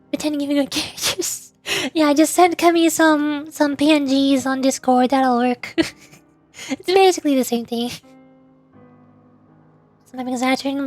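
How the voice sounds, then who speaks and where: A woman chatting casually and in a rambling way as she livestreams a game. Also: high-pitched. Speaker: streamer, VTuber. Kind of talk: casual livestream chat while gaming.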